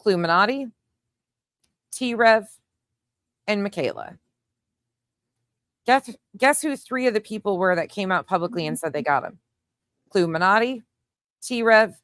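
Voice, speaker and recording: A woman's voice: mid-range voice, fairly bright tone, no echo, studio quality. A woman hosting an opinionated online case commentary, speaking in a pointed, emphatic, argumentative way. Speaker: commentary show host.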